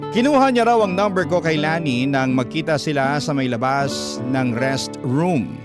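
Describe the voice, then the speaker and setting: A man with a Filipino accent narrating a scripted first-person letter as a radio storyteller. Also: medium pitch. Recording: guitar music bed under voice.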